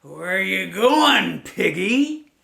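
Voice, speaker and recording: A woman voicing a sly big bad wolf who coaxes in a loud, slow drawl. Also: medium pitch, sing-song, creaky, roomy sound, full character voice. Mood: coaxing.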